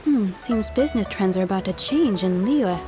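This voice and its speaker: A woman's voice: mid-range voice, lively intonation, moderate pace, music in the background, close to an everyday voice. An actress playing a polite, hesitant shopkeeper woman in a video game. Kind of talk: video game character acting.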